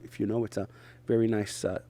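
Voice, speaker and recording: A middle-aged man from Israel, a native Hebrew speaker, talking in English as an interview guest in short, halting phrases with frequent restarts. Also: on the low side, flat delivery, warm tone. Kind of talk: conversational interview.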